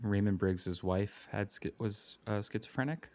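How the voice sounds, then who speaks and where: A man co-hosting a podcast, chatting casually and thinking aloud in a halting way. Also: lowish voice, a little mellow, dry recording. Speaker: podcast co-host. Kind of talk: casual comic book discussion.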